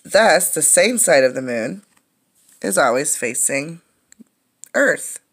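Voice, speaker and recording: A woman's voice: sits low, lively intonation, slightly rough. A woman reading a children's science book aloud like a teacher, explaining things as she goes. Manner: explanatory, pausing to comment and ask questions.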